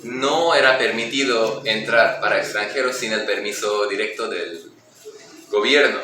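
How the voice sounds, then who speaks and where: A young man from Nepal talks through his answers as an interview guest, circling back with restarts and fillers. His voice is bright and clear in tone. He is recorded off-mic in a very echoey space with a faint hiss behind him. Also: on the low side, a little slow, recorded from a distance. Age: young adult. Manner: explains at length with restarts and fillers. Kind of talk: casual interview.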